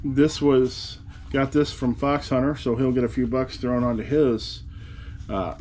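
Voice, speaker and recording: A man in his forties chats to the camera from behind a desk, wry and rambling, with asides about what he has sold. His voice sits at an everyday middle pitch. A faint hum of room noise lies under the recording. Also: steady tone, measured pace, a little mellow. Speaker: eBay reseller vlogger. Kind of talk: casual talk to camera. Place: cluttered room indoors, seated at a desk. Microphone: camera in front of him at the desk.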